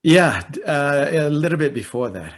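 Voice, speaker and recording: A man in his fifties from Malaysia with a Malaysian English accent, teaching and answering questions in a halting way, with many fillers and dry self-deprecating humor. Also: fairly high pitch, lively intonation. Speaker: dharma teacher. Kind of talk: informal teaching and Q&A.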